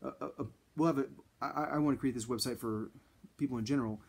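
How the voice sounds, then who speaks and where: A husky-voiced man in his thirties talks casually to a close camera in a small indoor room. He rambles, restarting sentences and filling pauses with ums. His pitch sits in an ordinary middle range. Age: 30s. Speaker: vlogger. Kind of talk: casual direct-to-camera talk. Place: small indoor room against a plain wall.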